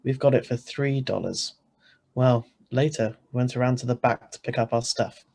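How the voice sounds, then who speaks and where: A man's voice: mid-range voice, moderate pace, gravelly, a little mellow. A man playing a skeptical, exasperated husband who also narrates, reading a radio drama script in a wry, sighing manner. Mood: wry narration. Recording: live video-call recording.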